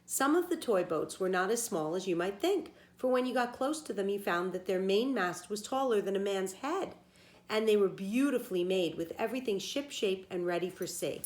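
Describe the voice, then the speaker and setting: A woman teacher reading a children's story aloud, pausing to explain words plainly for young listeners. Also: mid-range voice, lively intonation, a little mellow. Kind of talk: storybook read-aloud with simple asides.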